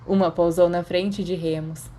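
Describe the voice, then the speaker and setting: A woman's voice: on the low side, flat delivery, normal speed, mellow, a little hiss or hum. A woman with a Brazilian accent reading a story aloud as scripted narration. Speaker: audiobook narrator of fan fiction.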